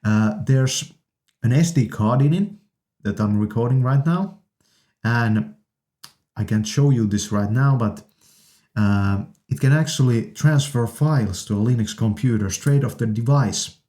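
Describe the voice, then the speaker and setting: A man casually demonstrating audio gear as a video host, halting yet enthusiastic, recorded on studio condenser microphones. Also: mid-range voice, dark tone.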